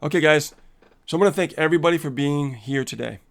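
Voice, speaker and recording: A man's voice: fairly high pitch, quick pace, gravelly, fairly bright tone. A man co-hosting a casual live coaching stream, upbeat and motivational, laughing often and reading viewer comments aloud.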